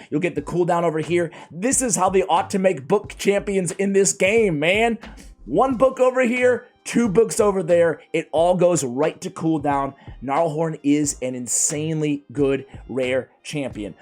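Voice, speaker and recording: A man reviewing video game characters in a casual, energetic way, his voice strained and worn from a cold. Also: on the high side. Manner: energetic, chatty, keeps addressing viewers as guys. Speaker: gaming YouTuber.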